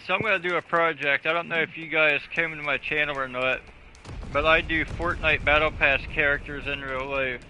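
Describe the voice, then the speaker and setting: A man's voice: on the high side, steady tone, fairly quick, pushed and bright, slight background noise, poor audio. A man streaming a game live, chatting casually with crude, profane jokes. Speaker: gaming streamer.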